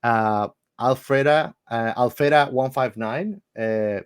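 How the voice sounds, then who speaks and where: A man's voice: medium pitch, takes it slowly, clean tone, dry recording, clean studio sound. A man co-hosting a podcast in casual chat, enthusiastic and repeating words for emphasis. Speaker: podcast co-host.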